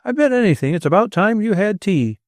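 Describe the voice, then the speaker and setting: A man's voice: high-pitched, very animated, a little fast, no echo, clean studio sound, pitched above the performer's own voice. A man voicing a brash but kindly young man with a New York accent, talking in a plain, coaxing, easygoing way. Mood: breezy, coaxing. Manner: breezy, plain-spoken, coaxing.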